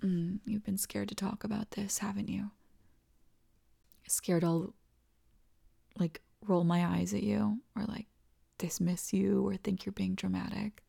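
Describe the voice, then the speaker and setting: A tender, devoted young girlfriend, voiced by a woman in an ASMR roleplay, speaking slowly and reassuringly. Her soft voice often drops into whispers and carries a gravelly vocal fry. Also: medium pitch, level tone, exaggerated voice.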